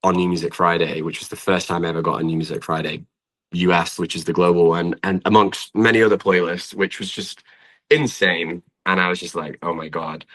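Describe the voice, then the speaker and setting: A man from the north of England talks casually as a guest songwriter, with a northern English accent. His voice is deep yet bright and forward. He speaks in loose, rambling, informal sentences. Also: steady tone, a little fast. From Preston, north of England. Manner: rambling, informal, often says "like". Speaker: guest singer-songwriter and producer. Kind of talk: casual interview chat.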